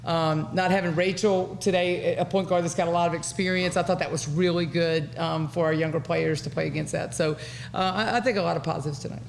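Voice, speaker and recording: A middle-aged American woman, a basketball coach answering at a press conference in a measured, halting way. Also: on the low side, fairly even intonation, creaky. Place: indoor press conference room. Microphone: table microphone. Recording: faint room noise.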